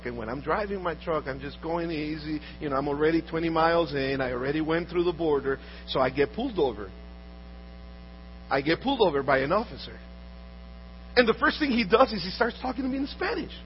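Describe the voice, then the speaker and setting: A man's voice: a little high, a little fast. A man from Honduras earnestly telling personal stories, often repeating words and restarting as he talks. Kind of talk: storytelling talk. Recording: dull audio with faint mains hum.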